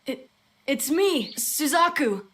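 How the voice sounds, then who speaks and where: A woman's voice: sits high, expressive, slow pace, a bit bright, a little hiss or hum, full character voice. Hot-tempered young boy, reverberant. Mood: indignant, flustered. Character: hot-tempered, indignant young Japanese boy narrating his memories. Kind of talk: audio drama acting with first-person narration. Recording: long reverb, music behind the voice. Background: background music.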